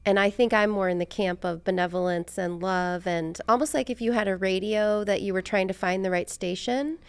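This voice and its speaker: A middle-aged American woman with a fry-edged but clean, smooth voice, recorded dry and close. She talks casually and reflectively as a podcast host, wandering through her thoughts and putting herself down a little. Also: medium pitch, steady tone, fairly quick, no echo. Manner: rambling, self-deprecating.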